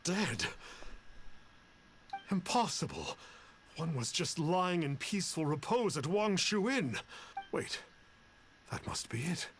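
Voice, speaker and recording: A man's voice: on the high side, very animated, slow, long pauses, fairly bright tone, slight background noise, heavily acted. A man voicing a theatrical, cowardly fake exorcist with ornate wording in a video game. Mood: haughty, indignant. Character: pompous fraudulent exorcist, theatrical, cowardly and fawning.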